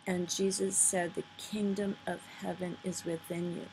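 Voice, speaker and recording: A woman giving an informal, earnest spoken commentary, pausing between phrases and sometimes reading aloud, on a noisy amateur recording. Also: fairly low pitch, level tone, a little mellow. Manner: earnest, halting delivery with pauses between phrases. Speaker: vlogger. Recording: noisy amateur recording, poor sound quality.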